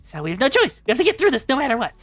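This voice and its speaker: Woman putting on an excitable, high-pitched schoolgirl. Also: racing, dry recording, soft background music, heavily acted. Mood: defiant. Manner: high, exclamatory outbursts. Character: excitable, desperate schoolgirl game-maker, loyal and emotional.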